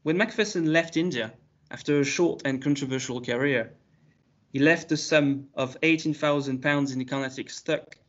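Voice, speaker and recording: A man presenting a prepared academic paper at a conference, reading in a measured way with occasional restarts. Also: on the high side. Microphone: video call. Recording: slightly muffled video call audio.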